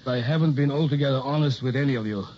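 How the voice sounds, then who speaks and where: Actor playing a grave old doctor, muffled radio recording. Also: male voice, mid-range voice, a little hiss or hum, natural voice. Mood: grave, confessing. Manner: measured, formal, solemn. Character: worried older doctor, grave, secretive scientist confessing. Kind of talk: 1960s radio drama acting.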